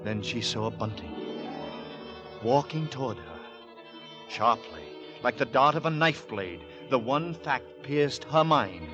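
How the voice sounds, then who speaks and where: An actor as the grave, suspenseful storyteller of a horror tale, narrating ominously in 1940s radio style. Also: male voice, mid-range voice, expressive, slow pace. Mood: mounting dread. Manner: dramatic, ominous. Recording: dull 1940s radio recording. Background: loud dramatic music.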